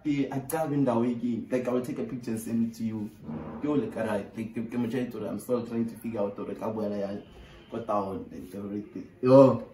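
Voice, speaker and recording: A South African man in his twenties making a casual, slangy prank phone call, animated, exasperated and pleading, with swearing. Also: lowish voice, brisk. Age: 20s. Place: small bare bedroom indoors. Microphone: camera a short distance away. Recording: roomy echo, distant from mic.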